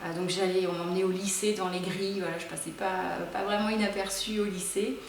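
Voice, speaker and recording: A woman's voice: medium pitch, steady tone, brisk, creaky, slight background noise. A woman in her forties from France, a motorcyclist chatting through interview answers with many fillers. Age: 40s. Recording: roomy, distant mic.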